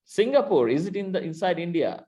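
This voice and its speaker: A man's voice: high-pitched, very animated, fairly quick, warm tone. A man from Bangladesh with a Bangladeshi accent, a university lecturer teaching an online class over a computer mic, talking hesitantly with many fillers and restarts. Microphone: computer mic on video call.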